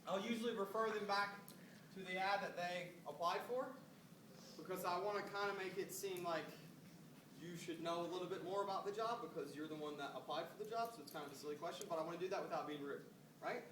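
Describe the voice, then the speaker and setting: A man with a high voice coaches recruiters through a casual phone script. He sounds upbeat and often checks in with short tag questions. The recording is roomy and distant, with noise behind him. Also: fast talker. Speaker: recruiting trainer making phone screening calls. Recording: roomy, distant mic, noisy background.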